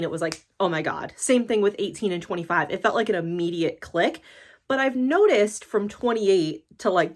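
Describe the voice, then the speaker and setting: A woman about to turn thirty, from the United States, chatting in a rambling casual vlog with lots of fillers and self-deprecating laughs. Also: fairly low pitch. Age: late 20s. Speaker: YouTuber.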